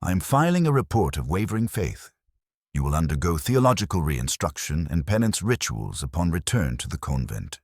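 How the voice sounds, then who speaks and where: A cold and rigid warrior sister superior from a grim sci-fi audio story, voiced by a man, issues formal orders. The voice is deep and slightly husky, stern and commanding. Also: big pitch swings, normal speed, dry recording, clean studio sound, exaggerated voice.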